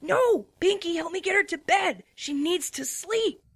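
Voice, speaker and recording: A woman's voice: very high voice, expressive, a little slow, no room sound, heavily acted. A woman doing a grumpy little boy dragon. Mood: exasperated. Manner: grumbling and exasperated. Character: exasperated young male baby dragon helper. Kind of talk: fan dramatic reading.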